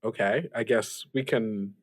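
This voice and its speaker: A man's voice: fairly low pitch, unhurried, slightly rough. A man playing a young student radio host in an improvised audio drama, casual and stammering as he hosts. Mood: curious, uneasy. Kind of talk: improvised actual-play radio show drama.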